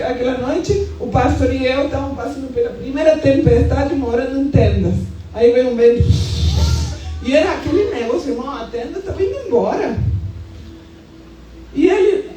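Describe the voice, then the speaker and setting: A woman in her fifties with a Brazilian accent preaching as a pastor, moving into colloquial, animated and emphatic personal storytelling. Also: sits high, slight background noise. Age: 50s. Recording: echoey hall, distant sound, music underneath.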